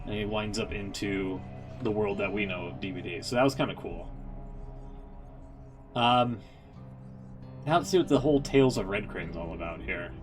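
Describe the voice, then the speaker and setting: Male gaming streamer, fairly low pitch, loose conversational commentary, light music underneath. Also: moderate pace, pauses often. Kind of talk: casual reaction commentary.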